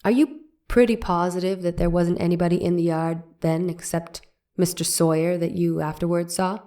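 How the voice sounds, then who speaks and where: A woman voices a courtroom lawyer from the 1890s, pressing a witness with leading questions. Her voice sits somewhat low. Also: fairly even intonation, moderate pace, mellow, natural voice. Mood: probing. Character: probing 1890s defense attorney. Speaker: cross-examining lawyer. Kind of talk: dramatic reading of a trial transcript.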